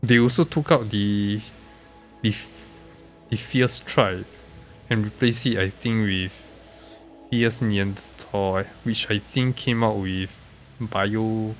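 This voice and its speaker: A man with a low, nasal voice commentates casually on his game stream, sounding stuffed up from being sick. He speaks very slowly and haltingly, often trailing off, with music playing behind him. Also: steady tone. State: congested, recovering from being sick.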